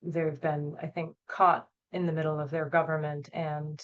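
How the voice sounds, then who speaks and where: A woman interviewer asking questions in a measured, gentle way with some hesitation. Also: deep voice, level tone, lo-fi sound.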